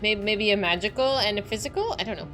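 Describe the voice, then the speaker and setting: A young woman streaming a game, chatting excitedly with a slightly squeaky voice, over faint game music. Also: quick pace. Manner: excitable, chatty, laughing often. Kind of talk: casual chat while playing a game.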